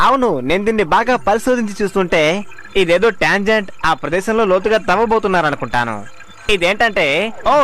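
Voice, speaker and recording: A man's voice: high-pitched, a bit bright, slight background noise. A man voicing a studious, worried young sidekick in a dubbed cartoon, earnest as he explains. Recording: music behind the voice.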